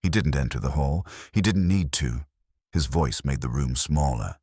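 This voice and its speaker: Very deep-voiced man narrating detective fiction. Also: normal speed, darkish tone, breathy edge, dry recording, clean studio sound. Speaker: narrator of a mystery story. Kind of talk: scripted first-person detective story narration.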